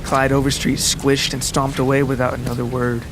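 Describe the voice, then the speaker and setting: A man reading a scripted literary story as a dramatic narrator, with deliberate, descriptive phrasing. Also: medium pitch, monotone, background noise. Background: music and rain sounds.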